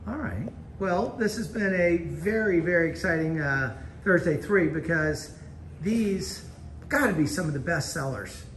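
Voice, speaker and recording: Brief: upbeat male host, high voice, chatting on a gallery walk, roomy sound, faint hum behind. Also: animated, measured pace, a bit bright, distant mic. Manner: chatty and enthusiastic. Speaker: host of local video tour. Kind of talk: casual chat. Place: art gallery, indoors.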